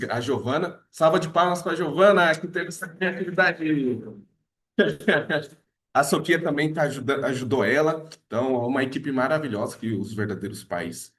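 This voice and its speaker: A man's voice: mid-range voice, fairly bright tone. A man leading a team meeting, introducing members and giving an informal report, sometimes searching for words. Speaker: team leader presenting members. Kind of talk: casual presentation to a group.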